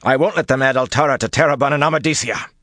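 A man's voice: extremely low pitch, normal speed, no room sound, studio quality, heavily acted. A man voicing a driven, angry male commander who snaps defiantly in an audiobook. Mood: defiant anger. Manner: snapping, forceful delivery.

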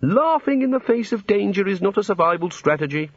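A man's voice: sits high, sing-song, a little fast, dry recording, muffled recording, pitched above the performer's own voice, full character voice. A man voicing a vague, distracted, fussy god who dithers and rambles absent-mindedly in an audiobook story. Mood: puzzled.

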